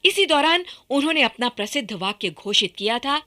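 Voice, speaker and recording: A woman's voice: high-pitched, animated, brisk, sharp tone. A woman narrating a scripted historical radio drama in a dramatic, projected manner.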